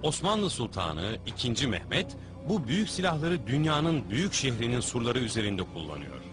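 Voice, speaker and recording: Lively male documentary narrator. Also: mid-range voice, normal speed. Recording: music bed under narration.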